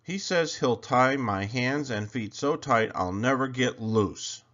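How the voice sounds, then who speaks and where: A man's voice: medium pitch, slow pace, a bit bright, highs cut off. A man voicing a timid but imaginative young boy in a storybook, speaking earnestly and plainly. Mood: worried.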